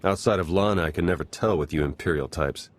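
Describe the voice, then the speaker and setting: Low male voice, wry spy, easygoing, matter-of-fact briefing, video game. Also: quick pace. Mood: wry, businesslike.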